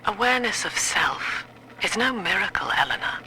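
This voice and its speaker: An actress playing a cold, cerebral cult leader who dictates an audio diary in a measured, clinical, commanding way. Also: female voice, medium pitch, expressive, close to an everyday voice. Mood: hypnotic, instructing. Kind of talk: dramatic audio-diary monologue. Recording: bright, faintly noisy audio-log tape.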